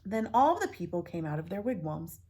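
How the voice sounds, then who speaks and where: Woman teacher, storybook read-aloud, low husky voice, drops to whispers for asides. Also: sing-song, brisk, warm tone. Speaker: teacher reading to children. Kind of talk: picture book read-aloud.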